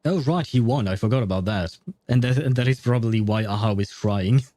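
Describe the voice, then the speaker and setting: A man's voice: lowish voice, fairly quick, dark tone, no echo. A man who is a non-native English speaker chats casually with his livestream viewers in a polite, hesitant, rambling way. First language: not English. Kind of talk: casual livestream chat with viewers over gameplay. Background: faint game music.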